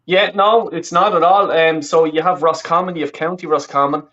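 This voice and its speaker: A man's voice: fairly high pitch, fairly even intonation, normal speed, pushed and bright, dull audio. A man from the west of Ireland with a Dublin accent, chatting casually as an interview guest and rambling with lots of self-corrections. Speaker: interview guest, fan channel creator. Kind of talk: casual chat.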